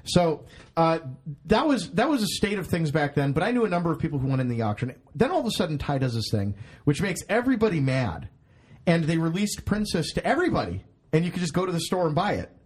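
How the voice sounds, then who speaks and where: Husky rambling podcast host, a man. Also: fairly high pitch, big pitch swings, fast. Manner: rambling, swears, laughs at himself. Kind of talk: casual chat.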